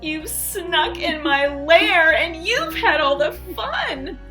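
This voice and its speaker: A woman's voice: very high-pitched, lively intonation, slow pace, bright, forceful tone, faint music behind, voice pushed up, full character voice, poor audio. A woman voicing a big, grumpy, self-pitying storybook bear who grumbles indignantly. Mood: indignant, hurt.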